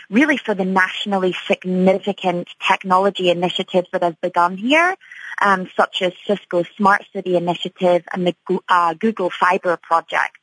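A woman's voice: fairly low pitch, a bit bright, no echo, poor audio. A woman from Scotland, answering as a guest in a radio interview in an upbeat, chatty way with many fillers. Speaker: tech company CEO, guest.

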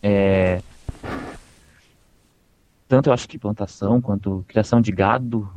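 A man's voice: lowish voice, unhurried, a little mellow, slight background noise. A young man from southern Brazil with a slight southern Brazilian accent, chatting casually as the interviewee and often repeating words as he thinks. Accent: slight southern Brazilian Portuguese. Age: young adult. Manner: hesitant, repeats words while searching. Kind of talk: casual conversation.

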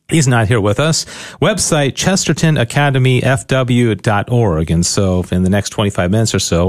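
Male radio host, animated delivery, dry studio sound. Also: lowish voice, no room sound. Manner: lively, with fillers. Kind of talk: radio interview and sponsor reads. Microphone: studio mic.

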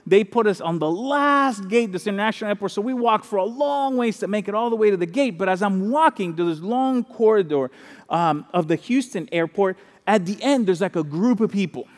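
A man with a high voice preaches a sermon in a lively, conversational way. He grew up in the Dominican Republic and often repeats key lines for emphasis. His voice carries a long reverb, as in a large hall. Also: a little fast. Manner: animated, conversational preaching with repetition and asides. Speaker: pastor.

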